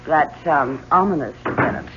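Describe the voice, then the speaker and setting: A woman playing a cool, self-possessed young mistress in a 1970s radio drama, arch, teasing and unhurried. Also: sits low, natural voice. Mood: coolly evasive. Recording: muffled, faintly noisy 1970s radio broadcast.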